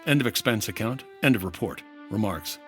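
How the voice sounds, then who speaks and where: An actor playing a dry, relentless 1950s insurance investigator in an old-time radio drama, speaking in a clipped, wry, accusing way. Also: male voice, lowish voice, expressive, brisk, a bit bright, soft background music. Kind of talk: old-time radio detective drama acting with narrated report.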